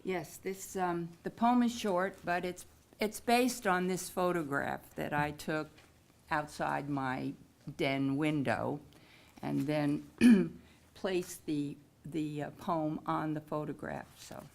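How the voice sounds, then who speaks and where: A woman poet at a village hall event, explaining and then reciting her own short poem with unhurried care. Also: low voice, fairly even intonation, vocal fry, darkish tone. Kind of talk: poetry reading at a public event.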